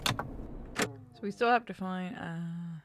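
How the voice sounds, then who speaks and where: A woman's voice: on the low side, slow pace, background noise. A woman giving casual, sweary commentary as she plays a video game.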